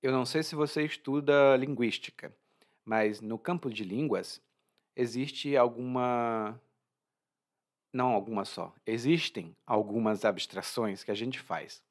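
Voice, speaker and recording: A Brazilian man explaining words to language learners, with a slow, clear teaching delivery and frequent check-in questions. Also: medium pitch.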